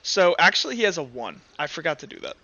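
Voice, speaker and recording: A man running a tabletop game as game master, narrating casually with halting, informal, sweary improvisation. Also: a little high, sing-song, bright, forceful tone, highs cut off.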